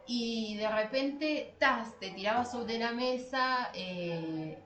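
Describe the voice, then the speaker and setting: A woman's voice: a little high, slow pace, a bit bright. A woman with an Argentine Spanish accent co-hosting a casual literary podcast in a colloquial way, repeating phrases for emphasis. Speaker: podcast co-host. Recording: echoey room, faint background noise.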